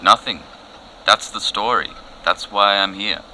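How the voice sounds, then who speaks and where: An actor playing a dry, exasperated field reporter on a live news cross in an audio comedy, deadpan and weary. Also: male voice, on the low side, unhurried, slight background noise, low-quality recording.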